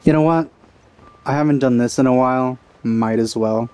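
A man's voice: mid-range voice, moderate pace, a little mellow. A man giving casual let's play commentary, offhand and musing with a wry streak. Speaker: gamer doing a let's play.

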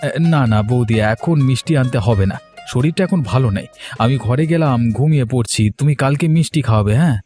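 A man's voice: medium pitch, fast, dark tone, husky, soft background music, natural voice. A man playing an eager, respectful younger brother in a cartoon story. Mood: polite. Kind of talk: cartoon moral-story dialogue.

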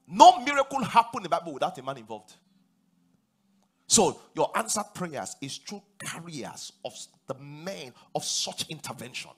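A man in his forties with a Nigerian accent, preaching a sermon on a large church stage, animated and rising to loud emphatic declarations. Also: a little high, normal speed, slightly rough. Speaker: pastor.

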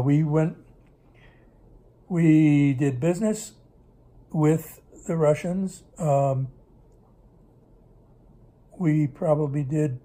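An older man speaks with a mellow, slightly high voice at a kitchen table. He rambles warmly through memories, stopping to fix words midway. The camera sits on the table close in front of him. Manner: rambling, self-correcting storyteller. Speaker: interviewee recalling business in Russia. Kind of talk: casual storytelling interview. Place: home kitchen table, indoors.

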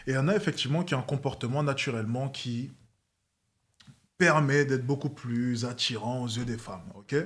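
A man's voice: mid-range voice, a little fast, a bit bright. A young man hosting a livestream chat from an indoor set with a desk microphone, teasing his guest and calling out her claims. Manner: teasing, repeats "cap" to tease. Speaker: streamer host. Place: indoor living room set. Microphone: headset with desk microphone.

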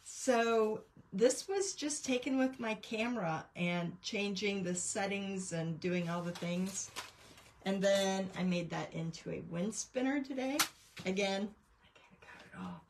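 Slow-paced, chatty woman craft host. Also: medium pitch, a little hiss or hum. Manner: rambling, chatty show-and-tell. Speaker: painting teacher and craft livestream host. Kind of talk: casual livestream chat. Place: indoors at a craft table.

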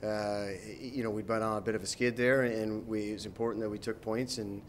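A man in his thirties, a hockey coach, answering post-game questions in a measured way, with many fillers and restarts, into a handheld mic. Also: on the low side, level tone, a little hiss or hum. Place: arena interview backdrop, indoors. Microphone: handheld mic held by interviewer.

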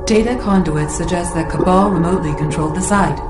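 A woman performs a female battlefield AI in a video game, measured and impersonal. Her voice is lowish and echoing, under loud music. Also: lightly acted. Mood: calm, precise. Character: calm, precise female military computer AI. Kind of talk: formal status report in game cutscene.

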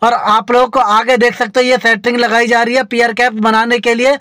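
A high-pitched man from India gives a chatty, repetitive tour of roadwork, talking to his viewers like friends. His voice is dry and close on a phone camera outdoors as he rides along. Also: little pitch movement, a little fast, bright, forceful tone, dry recording. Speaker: vlogger. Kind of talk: narrating a construction tour from a moving vehicle.

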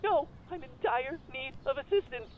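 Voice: high-pitched, lively intonation, moderate pace, dry recording, dull audio. An actor playing a dramatic, needy college guy in a visual novel game, with a pleading delivery. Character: dramatic, needy male college best friend.

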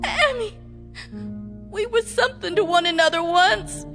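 An actress playing a frightened farm wife who pleads in a trembling, tearful voice in a radio drama. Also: female voice, very high voice, measured pace, music in the background. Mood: desperate, tearful. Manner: pleading, trembling, breaks into sobs. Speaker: farmer's wife.

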